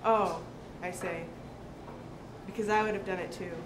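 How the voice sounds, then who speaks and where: A woman's voice: mid-range voice, fairly even intonation, moderate pace. A woman giving a dramatic recitation of a short story to listeners. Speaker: reader at a literary recitation. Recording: echoey room with clear background noise.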